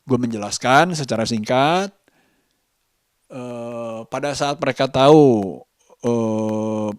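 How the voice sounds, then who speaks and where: Slow-talking Jakarta man, halting vlog delivery. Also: medium pitch, clean tone, no echo, studio quality. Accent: Jakarta Indonesian. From Indonesia. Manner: halting, hesitant, with long pauses between phrases. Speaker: vlogger. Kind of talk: personal vlog storytelling to camera.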